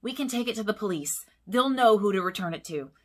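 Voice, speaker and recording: A woman's voice: mid-range voice, fairly quick. Tramp, a woman reading as a kind father dog. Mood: reassuring. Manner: calm and reassuring.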